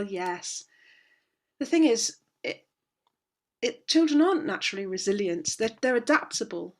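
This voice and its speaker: A woman with a slightly hoarse voice, a guest on a video call speaking with indignant passion about parenting. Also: mid-range voice. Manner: impassioned, indignant, with many restarts. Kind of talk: conversational interview, passionate advocacy.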